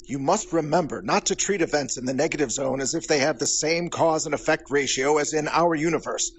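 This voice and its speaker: An actor playing a brilliant, calm male scientist and team leader, speaking in a measured, formal, precise way. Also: fairly high pitch, a little hiss or hum, natural voice. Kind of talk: audio drama acting. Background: loud score music.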